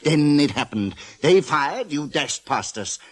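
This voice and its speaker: An actor playing a pompous, florid, excitable gentleman sidekick in a 1940s radio drama, with flowery theatrical wording. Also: a little high, unhurried. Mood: gleeful, boastful.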